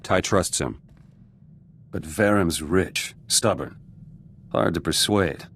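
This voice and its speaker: A very deep-voiced, gruff resistance fighter from a video game. He is guarded and cynical, biting off terse, blunt phrases. A faint layer of ambient noise sits behind him. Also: slow pace, a bit bright, full character voice. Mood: calculating, dry. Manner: clipped, terse fragments, blunt. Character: gruff, guarded revolutionary fighter turned politician, curt and cynical. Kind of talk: video game character dialogue.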